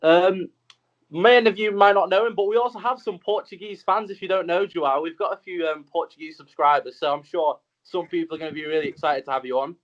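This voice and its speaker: A man's voice: high voice, quick pace. Upbeat young man hosting a podcast. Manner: upbeat, chatty. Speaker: podcast host. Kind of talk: casual podcast intro. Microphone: video call webcam. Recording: dull video call audio.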